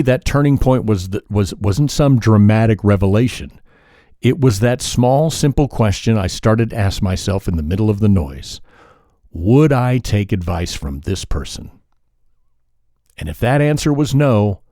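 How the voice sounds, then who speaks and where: A man hosting a solo podcast, talking in a calm, measured, reflective way, sometimes pausing or correcting himself mid-sentence. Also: fairly low pitch, no room sound, studio quality. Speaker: podcast host.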